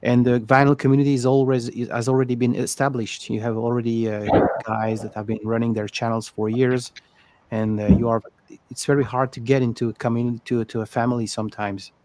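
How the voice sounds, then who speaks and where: A man's voice: medium pitch, flat delivery, a little fast, dark tone. A man from France with a French accent, hosting a casual panel chat over a video call and speaking candidly, restarting phrases as he searches for words. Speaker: host of the live stream.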